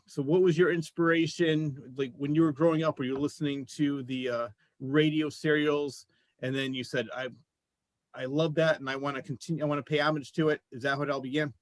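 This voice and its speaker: A man's voice: fairly high pitch, dry recording. A man co-hosting a casual interview show, talking in an effusive, appreciative way. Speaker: show co-host and voice actor.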